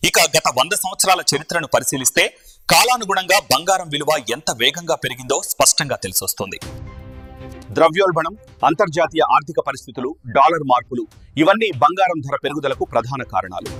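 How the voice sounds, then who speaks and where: A man's voice: on the high side, expressive, fast talker, bright, forceful tone, husky, soft background music. A man presenting a scripted news introduction.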